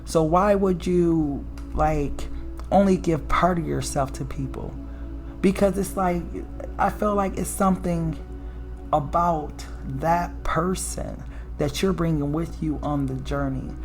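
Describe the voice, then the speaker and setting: A woman with a raspy alto voice giving a casual, preachy spiritual tarot reading, often checking in with "okay?" and "right?". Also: takes it slowly, a little mellow, faint music behind. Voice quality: low alto voice. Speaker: tarot reader.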